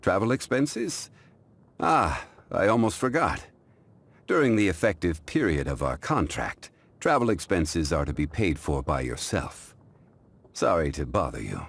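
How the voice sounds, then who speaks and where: A male actor playing a calm, dignified, ancient immortal man in a video game, speaking in a measured, formal, refined way. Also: sits low, gravelly, slightly rough, heavily acted. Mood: courteous. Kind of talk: scripted video game character voice lines.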